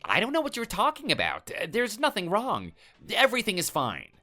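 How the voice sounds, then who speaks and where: A cowardly, grovelling male neighbor, put on by a man in a comic skit. The voice sits high and jumps around as he stammers and begs. Faint music plays underneath. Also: huge pitch swings, moderate pace, sharp tone, exaggerated voice. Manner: stammering, pleading, apologetic.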